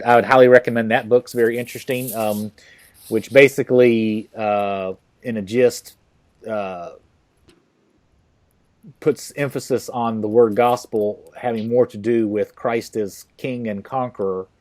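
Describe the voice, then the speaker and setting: A man hosting a podcast interview, talking casually and haltingly with many fillers and restarts. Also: mid-range voice, fairly even intonation, a little mellow. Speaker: podcast host.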